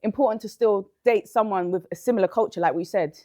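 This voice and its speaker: A woman's voice: medium pitch, quick pace, mellow. A woman on a casual panel discussion who cuts in with pointed questions.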